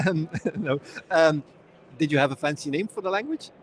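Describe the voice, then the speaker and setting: A man's voice: fairly high pitch, moderate pace. Friendly male conference interviewer. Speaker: interviewer, host. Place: conference venue.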